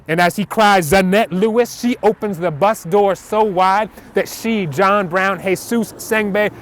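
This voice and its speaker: A man's voice: high-pitched, unhurried, a bit bright. A man reciting spoken word poetry in a rhythmic, dramatic, emphatic way. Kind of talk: performed slam poetry recital. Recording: outdoor recording with clear traffic noise.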